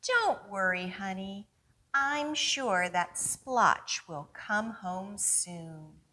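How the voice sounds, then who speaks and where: A reassuring picture-book mother, voiced by a woman reader. She speaks soothingly and very slowly, calm, with a bright tone. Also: mid-range voice, exaggerated voice. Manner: soothing, unhurried. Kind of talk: children's picture-book read-aloud.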